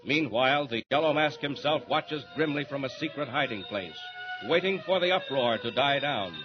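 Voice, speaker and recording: A man's voice: medium pitch, expressive, moderate pace, highs cut off, over music. A man narrating a 1940s radio serial in a suspenseful, theatrical style. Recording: noisy, band-limited old radio recording.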